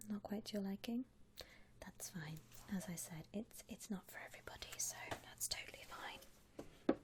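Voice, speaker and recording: A woman whispering softly and breathily in an attentive, gentle customer-service ASMR roleplay, close to the microphone. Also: medium pitch, normal speed. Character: polite, attentive female vampire coffin-shop consultant. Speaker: ASMR roleplay performer, playing a shop consultant.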